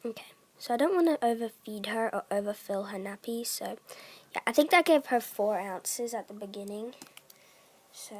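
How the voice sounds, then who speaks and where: A teenage girl chatting casually in a vlog, soft-spoken, with many fillers, and often trailing off into a whisper. She has a bad cough. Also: medium pitch. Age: teens. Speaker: doll collector vlogger. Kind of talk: casual chat.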